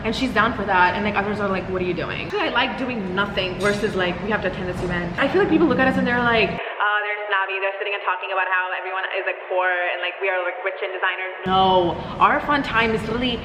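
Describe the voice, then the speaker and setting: A woman's voice: mid-range voice, fast talker. A young woman with a Dubai English accent, chatting and teasing a friend in a casual vlog. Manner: chatty, teasing, full of fillers. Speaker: vlogger. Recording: echoey room with background noise.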